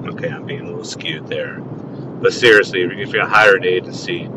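Deep-voiced man podcasting while driving. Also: brisk. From Tucson, Arizona. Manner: rambling, self-amused, frequent restarts. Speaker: podcast host. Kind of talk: casual solo podcast monologue. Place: in a car driving. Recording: faint road rumble from the car. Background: car engine rumble.

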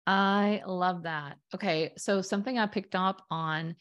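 A woman's voice: fairly low pitch, steady tone, unhurried, fairly bright tone, clear, resonant tone, highs cut off. A woman hosting a podcast interview, enthusiastic and effusive, with lots of fillers as she talks. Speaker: podcast host, storytelling coach.

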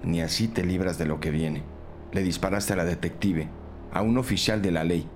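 An actor playing a seasoned, menacing police detective, cool and deliberate, in a crime audio drama. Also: male voice, deep voice, fairly even intonation, darkish tone, faint music behind, close to an everyday voice. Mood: cool menace.

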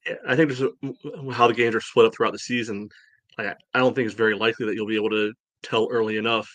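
Dude talking sports really fast, kinda low voice, says you know a lot. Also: male voice, fairly even intonation, fairly bright tone, no echo. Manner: peppers speech with "you know". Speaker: podcast co-host. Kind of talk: casual sports discussion.

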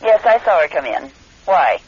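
A prim, guarded office woman on the telephone in an old radio play. Her voice is husky and swoops in pitch. The sound is muffled. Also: big pitch swings, moderate pace. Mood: affronted. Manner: prim, formal. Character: prim female office researcher, guarded and easily affronted. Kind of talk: old-time radio drama acting.

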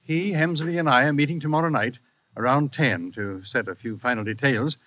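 A man's voice: mid-range voice, normal speed, natural voice. An actor playing a nervous, worried businessman in an old radio drama. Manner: anxious, worried. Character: nervous wealthy American businessman. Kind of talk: 1950s radio drama acting. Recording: dull old radio recording.

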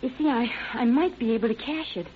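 An actress playing a polite, anxious but firm young shop clerk in an old radio drama. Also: female voice, a little high, quick pace, narrow, tinny audio, natural voice. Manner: courteous, apologetic, earnest. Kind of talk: 1940s radio crime drama acting. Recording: thin band-limited old radio recording, hiss.

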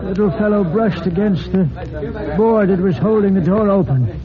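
An actor playing a shaken, contrite thief in old-time radio drama, speaking in a halting, meek, apologetic way. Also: male voice, high-pitched, crowd noise, close to an everyday voice. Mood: weak, grateful. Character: contrite, shaken rescued male thief, meekly confessing. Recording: dull old radio recording with background clamor.

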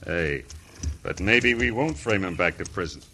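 An actor playing a scheming, cold-blooded villain in an old-time radio Western, talking in folksy frontier wording. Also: male voice, deep voice, animated, unhurried, bright, forceful tone, full character voice. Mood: calculating. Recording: noisy vintage radio drama recording.

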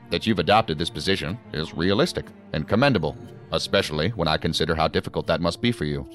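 An actor playing a measured senior federal agency director in a sci-fi audio drama, formal and deliberate in his speech. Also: low voice.

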